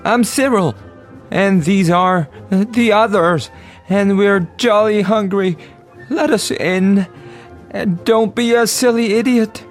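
A man's voice: sits high, slow, faint music behind, pitched above the performer's own voice. A man voicing a cheeky, insistent, hungry schoolboy, the bold older brother in a storybook. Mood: cheeky, impatient. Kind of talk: storybook character voice.

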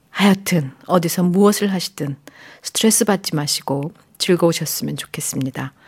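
Give me a soft-spoken woman DJ with a deep voice chatting to listeners in a radio studio. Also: slightly rough. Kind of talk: radio show hosting, casual talk to listeners.

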